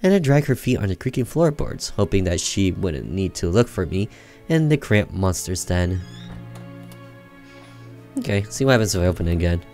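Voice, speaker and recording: A man's voice: deep voice, sing-song, normal speed, a little mellow, faint music behind. A man doing casual gameplay commentary and reading on-screen story text aloud. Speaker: gamer doing a let's play, reading game text aloud.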